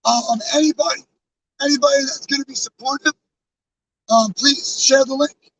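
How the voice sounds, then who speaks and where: A hoarse man losing his voice, talking casually and earnestly to his live-stream chat, rambling with fillers and restarts. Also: very high-pitched, steady tone, normal speed, a bit bright, recorded from a distance, rough recording. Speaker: live streamer with a truck convoy. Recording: off-mic with faint background noise.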